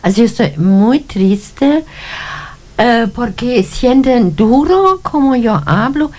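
A woman's voice: on the high side, lively intonation, slow pace, a little mellow. A woman with a foreign accent, a non-native Spanish speaker chatting in simple, careful phrases. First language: not Spanish. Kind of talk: casual conversation.

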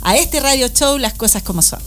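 A woman radio host, chatty and expressive as she leads an interview. Her voice is high. Faint music sits underneath the talk. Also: fairly quick.

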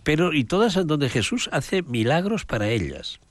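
A man's voice: fairly high pitch, very animated, moderate pace, dry recording. A man, a priest, talking casually about women in the Gospels, rambling and often searching for names. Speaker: priest guest. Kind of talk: casual religious talk on a show.

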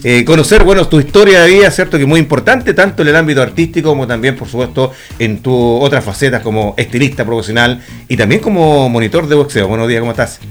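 A man's voice: medium pitch, quick pace, hardly any pauses. A Chilean man hosting a radio interview from the studio, reading sponsor spots and prompting his guest with short acknowledgements. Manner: conversational, many short acknowledgements. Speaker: radio host.

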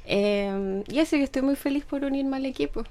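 A young woman with a Chilean Spanish accent, a sports instructor answering interview questions in a measured, friendly way. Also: sits high, warm tone.

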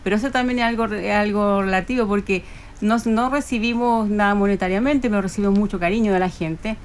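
A woman's voice: medium pitch, fairly quick. A woman with a Chilean accent talking conversationally as a club guest in a radio interview. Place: radio studio. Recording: faint background noise.

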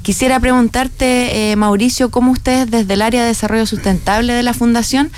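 A woman hosting a radio interview, talking in a measured way with many fillers and tag questions. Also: fairly high pitch, steady tone, slight background noise. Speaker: radio host.

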